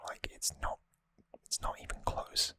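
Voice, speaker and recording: An ASMR host speaks entirely in a crisp, bright whisper. The delivery is slow, gentle and a little hesitant, like an informal recap of trivia. The recording is close to the microphone. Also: no echo. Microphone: close microphone, dry sound.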